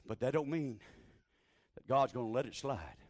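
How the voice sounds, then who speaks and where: A man preaching a fervent sermon in a small church, repeating phrases and building to shouted exhortation over a handheld microphone. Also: medium pitch, animated, moderate pace. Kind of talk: Pentecostal-style sermon.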